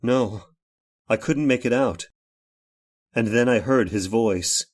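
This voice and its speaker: An actor playing an anguished, vengeful man in an old time radio horror drama, speaking haltingly with dramatic pauses. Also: mid-range voice. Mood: dazed, haunted. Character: anguished man turned vengeful robot, narrating his horror tale. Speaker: narrator and lead actor.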